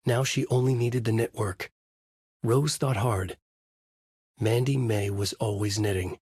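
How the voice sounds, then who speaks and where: A husky, low-voiced male writer narrates his own dark thriller like a video game noir. The delivery is grave, moody and deliberate. The sound is close and dry. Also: steady tone, dry recording. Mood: brooding.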